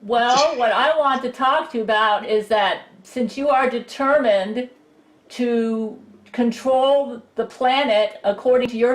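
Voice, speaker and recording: A woman calling in to a talk show, earnest and quick to giggle. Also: a little high. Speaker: caller. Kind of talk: casual chat. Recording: echoey call audio.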